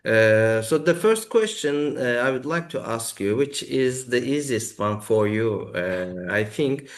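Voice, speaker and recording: A man hosting an interview, asking questions in careful, halting English with many pauses. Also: mid-range voice, animated. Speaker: host, interviewer.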